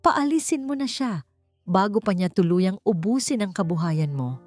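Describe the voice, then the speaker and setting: A woman's voice: medium pitch, animated, normal speed, darkish tone, close to an everyday voice. A woman as a domineering, grandiose older matriarch. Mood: feigned anger. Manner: grandiose, commanding, pretend-outraged. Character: domineering, scheming elderly mother-in-law turning sixty. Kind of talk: melodramatic audio storytelling. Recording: music behind the voice.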